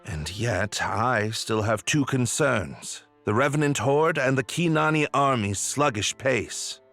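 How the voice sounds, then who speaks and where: A man playing a shrewd, grave military strategist in a video game cutscene, speaking deliberately and formally. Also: medium pitch, lively intonation, a bit bright, faint music behind.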